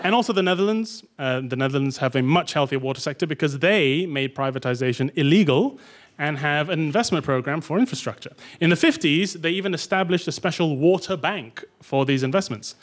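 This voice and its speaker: A man from Malaysia presenting a topical comedy segment to a live audience, with deadpan punchlines and chuckling at his own jokes. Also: on the high side, fairly quick.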